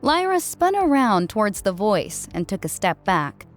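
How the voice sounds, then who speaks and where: A woman's voice: mid-range voice, big pitch swings, unhurried. A woman reading scripted fiction as an audiobook narrator. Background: background music.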